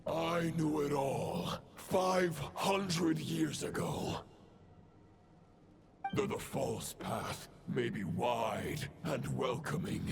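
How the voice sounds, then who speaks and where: Gravelly, pompous fallen knight villain. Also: male voice, a little high, noisy background, full character voice. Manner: pompous, archaic, declamatory. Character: grim, fallen knightly villain serving the Abyss, ancient, self-righteous. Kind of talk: dramatic video game voice acting. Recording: music under the voice. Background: game music.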